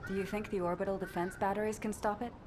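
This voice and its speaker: A woman playing an earnest, proud female soldier in a scripted video game scene, speaking in a measured way. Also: medium pitch, level tone, background noise, lightly acted. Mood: alert. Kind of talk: scripted game cutscene acting.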